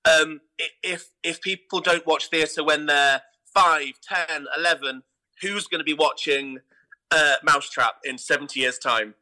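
A man's voice: on the high side, steady tone, measured pace, sharp tone, low-quality recording. A man chatting casually as an interview guest, talking in an enthusiastic, effusive way about his work as a theatre director.

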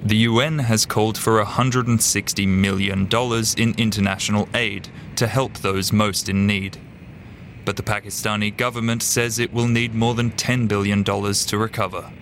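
A man's voice: lowish voice, flat delivery, moderate pace, a bit bright, slight background noise. A man narrating a scripted television news report as a reporter.

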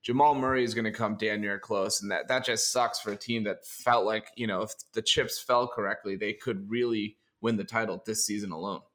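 A young man co-hosting a casual sports podcast, hoarse and raspy from a sinus infection, talking in a relaxed, jokey way. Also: fairly low pitch, brisk, few pauses. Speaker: co-host.

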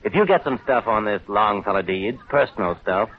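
An actor playing a bullying, sarcastic newspaper editor with a punchy, wisecracking delivery in a 1930s radio drama. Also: male voice, on the low side, lively intonation, fairly quick, a little hiss or hum, natural voice. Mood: goading. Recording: tinny band-limited 1930s radio broadcast.

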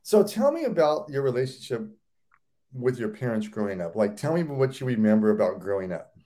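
A man's voice: medium pitch, sing-song, fairly quick, mellow. A man hosting a casual interview, enthusiastic and often repeating or restarting words. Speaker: host.

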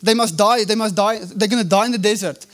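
High-voiced South African preacher. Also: male voice. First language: Afrikaans. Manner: preaching with emphatic drawn-out phrases and frequent restarts. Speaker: pastor. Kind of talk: sermon.

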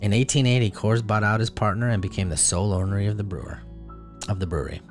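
A man co-hosting a podcast, reading a history segment in a measured, laid-back way. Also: on the low side, steady tone, soft background music. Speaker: podcast co-host and producer. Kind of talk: casual chat, reading a story segment.

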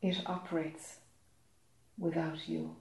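A woman leading a guided meditation, speaking slowly and softly with long pauses. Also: lowish voice, little pitch movement, slight background noise. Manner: slow, soft-spoken, long pauses. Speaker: meditation teacher. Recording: echoey room.

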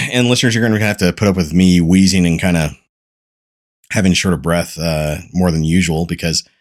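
Low-voiced stuffy podcast host. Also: male voice, steady tone, normal speed. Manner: rambling, profane, laid-back. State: congested, losing his voice. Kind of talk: casual podcast chat.

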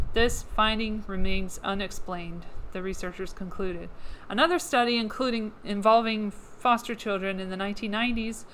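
A woman's voice: mid-range voice, fairly bright tone, a little hiss or hum, low-quality recording. Woman reading articles, voice wearing out. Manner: sarcastic asides between read passages. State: losing her voice. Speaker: podcast host. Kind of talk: reading news articles aloud with commentary.

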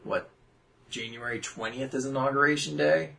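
A man's voice: mid-range voice, fairly even intonation, moderate pace, gravelly, sharp tone. A man co-hosting a podcast, chatting casually and rambling through jokes and mock voices. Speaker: podcast co-host. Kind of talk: casual chat.

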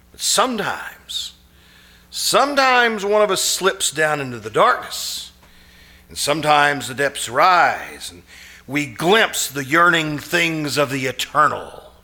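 A man acts out an anxious man gripped by an obsession in an old-time radio thriller. His voice sits a little high and swings widely, halting and pleading with dramatic earnestness. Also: animated, bright, forceful tone, exaggerated voice. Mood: brooding, intense. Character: anxious, haunted man obsessed with a shadowy vision. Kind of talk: live old-time radio suspense acting.

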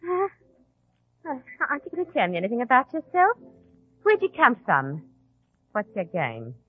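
A woman's voice: on the high side, wildly swooping pitch, moderate pace, slightly rough, exaggerated voice. An actress playing a chummy, probing tavern girl with shady schemes in a 1940s radio drama. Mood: chummy, coaxing. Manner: familiar, coaxing, probing. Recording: tinny, narrow old radio recording.

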